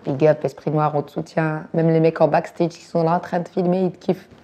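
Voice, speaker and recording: A woman from Belgium in her thirties, talking casually and quickly about music and dance, using slang and repeating words. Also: deep voice, level tone, warm tone. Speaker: actress and director talking about her influences. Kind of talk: casual chat.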